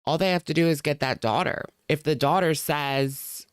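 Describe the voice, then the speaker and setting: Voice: medium pitch, normal speed, smooth, no room sound, clean studio sound. A reaction channel host talking casually in a blunt, mocking and sardonic way while commenting on a video.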